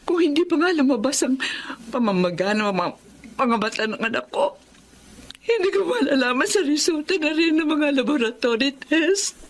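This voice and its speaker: High-voiced anguished Filipino mother. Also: expressive, moderate pace, a little hiss or hum. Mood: worried, subdued. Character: anguished grieving mother of two sons. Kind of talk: emotional Filipino drama acting. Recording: dramatic music bed behind.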